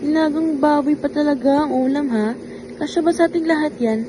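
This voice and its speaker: A woman's voice: high-pitched, fairly even intonation, fairly quick, close to an everyday voice. A young woman playing an impressed, teasing friend in an amateur radio drama. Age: young adult. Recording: faint background noise.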